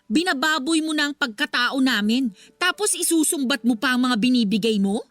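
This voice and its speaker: A woman's voice: sits high, animated, brisk, a bit bright, dry recording. An actress with a Filipino accent playing a proud, stubborn, blunt kept woman in a radio drama. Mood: defiant. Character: proud, stubborn young kept woman who stands her ground. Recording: music behind the voice.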